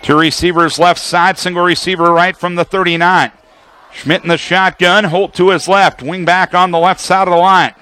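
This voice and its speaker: A man's voice: high voice, normal speed, clear, resonant tone. A man calling live football play-by-play on the radio as the announcer, in clipped, descriptive phrases. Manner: clipped descriptive phrases, excited calls on big plays. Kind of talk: live sports commentary, play-by-play.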